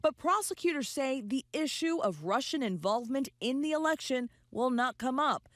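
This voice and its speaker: A woman reads a scripted news report as a correspondent. Her voice sits on the high side, and the sound is dry, with very little room to it. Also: lively intonation, normal speed, dry recording.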